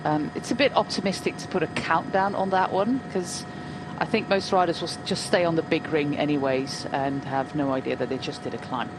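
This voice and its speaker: A woman's voice: low-pitched, brisk, few pauses, background noise. A woman in her forties commentating a bike race, chatty and anecdotal with many ums. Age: 40s. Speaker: cycling commentator. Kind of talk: live race commentary chat. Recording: commentary over loud race ambience.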